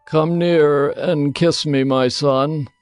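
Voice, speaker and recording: Slow-speaking old patriarch over music. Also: male voice, fairly high pitch, steady tone, clear, resonant tone, no echo. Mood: tender. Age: older. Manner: slow, deliberate, solemn delivery. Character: frail old father, solemn patriarch near death. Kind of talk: dramatized audio Bible acting. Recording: music bed behind the voice.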